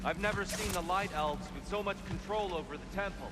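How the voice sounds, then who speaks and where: An actor playing a cunning old god disguised as a polite warrior, speaking in a courtly, formal way in a video game. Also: male voice, high-pitched, brisk, noisy background, natural voice. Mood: courteous. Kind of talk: video game cutscene dialogue. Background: loud game music.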